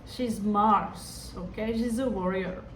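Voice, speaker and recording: Slow echoey tarot-reading woman. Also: mid-range voice. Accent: Hindi-influenced. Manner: musing, hesitant, talks to the viewer directly. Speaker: tarot reader. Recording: echoey room, distant mic, background noise.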